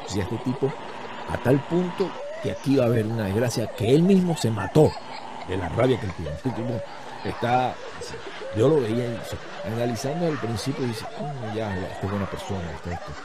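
A man's voice: medium pitch, lively intonation, brisk, crowd noise. A man telling a story in a casual, comedic way, animatedly acting out what other people said.

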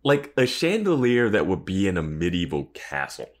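Animated, low-voiced man chatting. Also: normal speed. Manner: animated, incredulous, acts out imagined lines. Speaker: podcast co-host. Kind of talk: casual TV recap chat.

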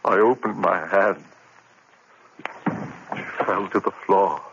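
A man's voice: mid-range voice, animated, measured pace, lightly acted. An actor playing a tormented male college lecturer losing his mind, in an intense 1940s radio drama delivery. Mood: haunted. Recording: muffled, faintly hissy old radio recording.

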